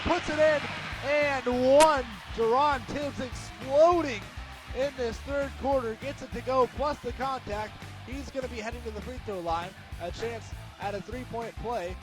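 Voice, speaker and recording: A young American man giving live radio sports commentary, moving between measured description and excited, shouted calls. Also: extremely high pitch. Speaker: play-by-play sports announcer.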